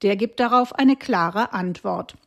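A woman correspondent narrating a scripted radio report with clear, brisk delivery. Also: medium pitch.

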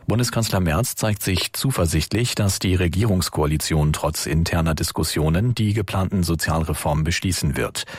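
A man reading the evening radio news and weather in a calm, even newsreader manner. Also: low-pitched, husky, no echo.

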